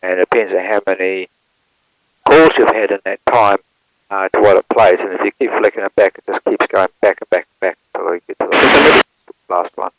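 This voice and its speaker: A man, walking through how to operate an aircraft radio step by step in a plain, steady manner, recorded through an intercom headset. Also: sits low, fairly quick, poor audio. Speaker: instructor demonstrating an aircraft radio. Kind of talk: hands-on equipment walkthrough.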